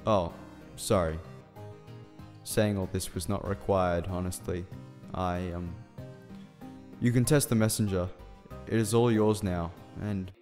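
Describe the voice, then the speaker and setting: Man acting an earnest, awkward low-voiced scientist. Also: steady tone, slow, pauses often, darkish tone. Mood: flustered. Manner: hesitant, formal, earnest. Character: earnest, awkward male scientist, shyly in love. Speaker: voice actor in a fan radio play. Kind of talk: fan radio drama acting. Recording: reverberant hall sound with music underneath.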